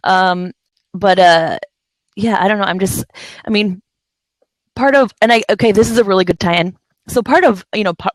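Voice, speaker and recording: A woman's voice: fairly low pitch, dry recording, rough recording. Rambling woman hosting a video chat. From San Francisco Bay Area. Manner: rambling, many fillers and restarts. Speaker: host of a live video chat. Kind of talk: casual chat.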